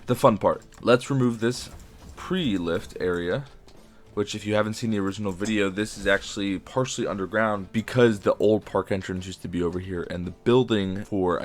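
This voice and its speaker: A young man with a sore throat and a raspy voice, chatting casually as he builds in a game. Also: low voice. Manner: chatty, enthusiastic, frequent fillers. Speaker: game video creator. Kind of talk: casual commentary while building.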